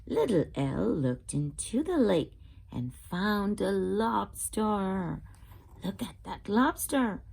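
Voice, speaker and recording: Brief: female children's read-aloud host, very slow delivery with lively, expressive intonation. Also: medium pitch, vocal fry, breathy edge. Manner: expressive, asks listeners questions.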